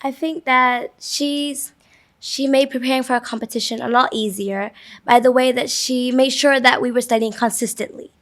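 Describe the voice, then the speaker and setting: A woman's voice: sits high, steady tone, normal speed. A young woman, a student who grew up with Vietnamese, answering interview questions in English fluently and thoughtfully. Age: teens.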